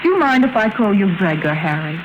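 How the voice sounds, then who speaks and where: Actress as cynical double-dealing countess, poised and teasing, mid-pitched, very noisy vintage radio recording. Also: female voice, expressive, fairly quick, lo-fi sound, natural voice. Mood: coolly teasing. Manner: poised, teasing, coolly amused. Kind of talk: 1950s radio drama acting.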